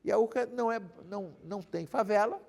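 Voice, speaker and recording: A man's voice: sits high, fast talker, gravelly, a little mellow, in a large hall. An older man from Rio de Janeiro with a Carioca accent, telling stories as an interview guest, rambling and often adding "né?". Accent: Carioca Brazilian Portuguese. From Rio de Janeiro, Brazil. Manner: rambling, repeats himself, frequent "né?". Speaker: guest lawyer. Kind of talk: interview storytelling.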